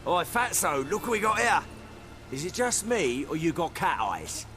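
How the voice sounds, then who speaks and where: An actor playing a crude, taunting, zealous street thug in a fantasy video game. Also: male voice, fairly high pitch, very animated, moderate pace, fairly bright tone, slight background noise.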